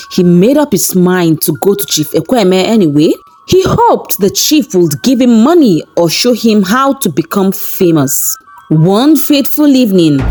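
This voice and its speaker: A woman narrating a scripted folktale in a dramatic, expressive storytelling manner. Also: mid-range voice. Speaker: storyteller, channel host. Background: background music.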